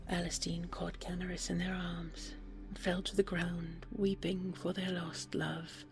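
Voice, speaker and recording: A woman narrating a scripted bedtime fairy tale as a sleep aid, in a hushed, breathy, soothing manner. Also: fairly low pitch. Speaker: audio roleplay storyteller.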